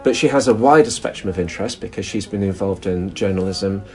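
Low-voiced man explaining. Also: brisk, a little mellow. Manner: explanatory, with "um" fillers. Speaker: interviewee. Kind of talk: explaining in interview.

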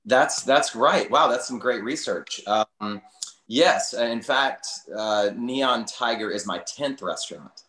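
A man's voice: mid-range voice, slow, pushed and bright. A middle-aged man telling stories casually as an interview guest, rambling and often saying "you know", in a roomy, echoing recording. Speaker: restaurateur podcast guest.

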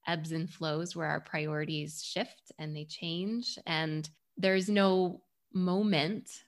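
Woman, low pitch, podcast host, articulate, reflective, conversational. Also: fairly even intonation, takes it slowly. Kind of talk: conversational interview.

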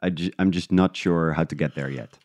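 A man talking casually and reflectively as an interview guest, feeling his way through thoughts with halting restarts. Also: sits low, darkish tone, no room sound. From Netherlands. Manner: halting, thinking aloud in restarts. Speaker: interview guest, independent podcast creator.

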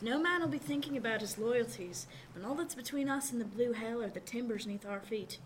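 A woman's voice: on the high side, lively intonation, a little fast, slight background noise, natural voice. A woman playing a seasoned male merchant sailor in a radio drama, wry and confiding, with old-fashioned nautical speech. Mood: watchful. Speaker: actor.

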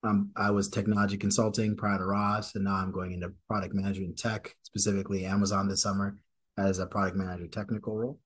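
A young man from Wisconsin co-hosts a podcast in a somewhat low, relaxed voice. He reads announcements casually, with frequent ums and throat clearing. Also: quick pace. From Madison, Wisconsin. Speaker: podcast co-host.

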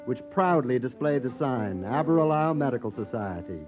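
A man's voice: medium pitch, animated, normal speed. A man narrating an old-time radio drama in a scripted, storytelling style. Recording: muffled old radio recording with music.

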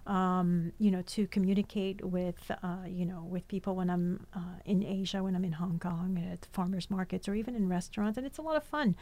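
A woman's voice: on the low side, fairly even intonation, fairly quick, hardly any pauses, mellow. A woman born in France, a cookbook author, chatting as an interview guest, talking with many fillers and restarts. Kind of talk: casual interview chat.

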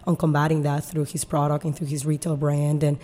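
A woman speaks in a low, mellow voice. She is a company founder in a podcast interview, earnest and thorough, explaining at length with many small fillers. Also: level tone, fairly quick. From Peru.

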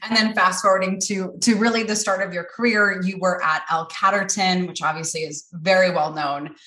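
A woman's voice: fairly low pitch, fairly even intonation, moderate pace, pushed and bright, echoey room, a little hiss or hum. A woman hosting a podcast, upbeat and polished as she reads scripted intros and asks interview questions. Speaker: podcast host.

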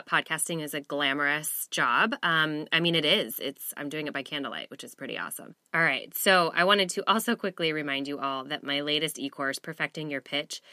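Low bright-voiced woman with a cold. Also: dry recording, clean studio sound. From Seattle area. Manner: chatty, upbeat, self-deprecating. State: has a cold. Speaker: podcast host. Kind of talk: casual podcast intro and interview. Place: small walk-in closet.

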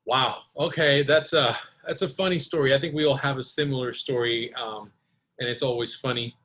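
A man's voice: medium pitch, steady tone, moderate pace, a bit bright. A man from Costa Rica, a native Spanish speaker, chatting informally and telling anecdotes as a podcast guest. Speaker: podcast guest, blues guitarist. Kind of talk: casual storytelling in an interview.

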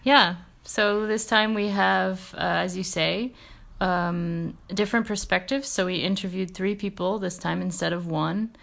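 A woman co-hosting a podcast, introducing the guest in a thoughtful, measured way with frequent fillers. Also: medium pitch, steady tone, creaky, a bit bright. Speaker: podcast co-host.

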